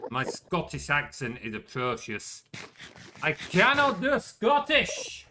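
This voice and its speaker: A man's voice: a little high, wildly swooping pitch, takes it slowly, bright, forceful tone, slight background noise. A young man chatting casually while he streams a game, self-mocking and swearing when things go wrong. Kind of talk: casual gameplay commentary.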